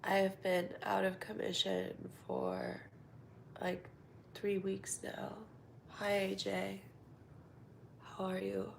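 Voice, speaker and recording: A woman with a cold, stuffed up and nasal, chatting casually to her livestream viewers from home in a soft voice that slips into half-whispers. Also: mid-range voice, monotone, slow pace, creaky, pauses often, a little hiss or hum. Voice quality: congested, nasal. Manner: soft-spoken, drifts into half-whispers, many fillers. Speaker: livestream host. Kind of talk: casual live-stream chat.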